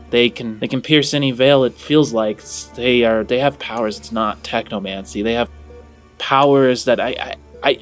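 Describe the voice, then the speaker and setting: Man playing an earnest, hesitant fugitive, halting speech. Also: medium pitch, natural voice. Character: earnest, conflicted male fugitive longing for his sister.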